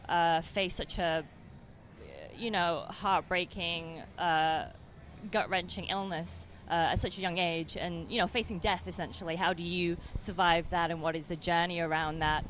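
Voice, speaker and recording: Soft-spoken English woman, hesitant. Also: lowish voice, fairly even intonation, normal speed, a little hiss or hum. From England. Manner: hesitant, many fillers and restarts. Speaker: film director. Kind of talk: interview answers.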